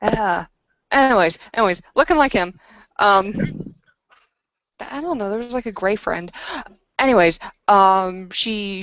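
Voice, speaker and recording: A woman plays a tabletop roleplaying game. She talks casually and gropes for words as she improvises. Her pitch is average and the recording is dry and slightly dull. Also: fairly bright tone, no echo.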